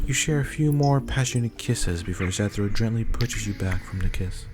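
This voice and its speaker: A man's voice: lowish voice, expressive, moderate pace, noisy background. A man reading scripted narration for an ASMR roleplay story. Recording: clear music behind the voice.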